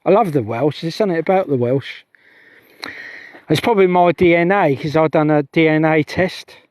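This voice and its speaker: A man's voice: fairly high pitch, normal speed, a little mellow, no room sound. Chatty man rambling outdoors. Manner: chatty, meandering, self-interrupting. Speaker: UFO investigator vlogger. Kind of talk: rambling outdoor livestream chat. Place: outdoors on a heath at night.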